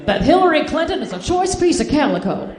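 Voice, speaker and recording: High-voiced woman with 1940s screwball delivery. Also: very animated, brisk, darkish tone. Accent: American. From Boston, United States. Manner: old-fashioned, 1940s screwball way of talking. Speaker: stand-up comedian. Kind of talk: stand-up comedy to a live audience. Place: indoor live comedy show, audience behind. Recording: echoey live room with audience.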